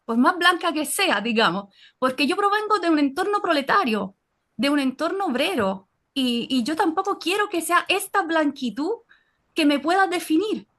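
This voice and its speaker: A woman with an Italian accent speaks Spanish in a high voice. She is earnest and pauses often over her words. She sounds like she is on a video call. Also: lively intonation, a bit bright. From Naples, Italy. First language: Italian and Neapolitan. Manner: earnest, frequent fillers and restarts. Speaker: audience participant. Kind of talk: reflective personal comment in an online session.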